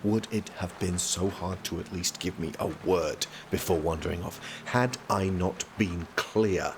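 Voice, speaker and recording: A man's voice: low voice, unhurried, noisy background. A man playing a stern, protective prince in an audio drama, speaking in a formal, clipped, commanding way. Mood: exasperated, scolding.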